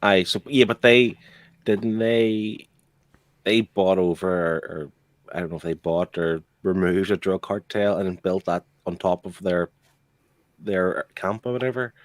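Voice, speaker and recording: Low-voiced man, close and dry. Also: fairly even intonation, unhurried, no echo. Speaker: podcast book club host. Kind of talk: casual comic book discussion.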